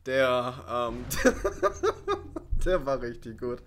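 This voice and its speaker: Bright-voiced, sarcastic male gamer. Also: medium pitch, extremely slow, a little hiss or hum. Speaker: gaming video host. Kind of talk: casual, joking game commentary.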